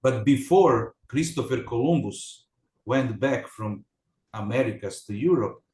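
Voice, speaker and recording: A man from Serbia, speaking English in a casual class conversation and pausing often to find his words. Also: mid-range voice, darkish tone, reverberant. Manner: halting, searches for words. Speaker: student in an online English class.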